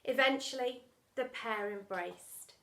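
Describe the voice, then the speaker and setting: High-voiced woman reading a children's storybook. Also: slow pace, reverberant. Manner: expressive, theatrical delivery with acted sound words. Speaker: teacher reading story aloud. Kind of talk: animated children's storybook narration.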